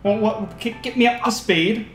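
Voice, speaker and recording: Male game streamer, high-pitched and teasing, echoey room, faint music behind. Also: normal speed, a bit bright. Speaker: let's play host. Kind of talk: casual joking game commentary. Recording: roomy echo with music behind.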